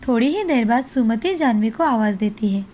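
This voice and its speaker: High-pitched woman narrating a story. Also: expressive, normal speed, warm tone. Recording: background music under the voice.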